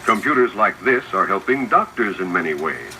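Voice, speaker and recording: Noisy old 16mm school film, guy narrating, lively intonation, teachy scripted delivery. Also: lowish voice, moderate pace. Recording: noisy 1970s 16mm film soundtrack, projector whir. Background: mechanical projector noise.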